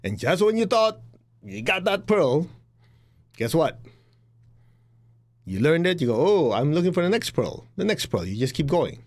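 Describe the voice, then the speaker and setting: A man's voice: a little high, big pitch swings, moderate pace, pauses often. A middle-aged man with a Chinese accent gives a blunt, direct spiritual talk as a teacher. He acts out the voices of the people he quotes and laughs at his own jokes.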